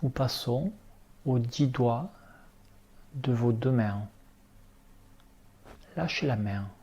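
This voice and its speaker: A man leading a guided relaxation meditation as an instructor, speaking calmly in short, unhurried instructions. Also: medium pitch, husky, lo-fi sound. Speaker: yoga nidra relaxation instructor.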